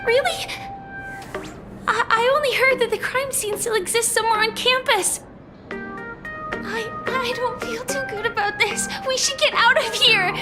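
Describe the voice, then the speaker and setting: An actress voicing a timid, cute little video game character who is easily frightened. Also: female voice, extremely high pitch, fairly even intonation, unhurried, pauses often, over music. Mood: nervous, frightened.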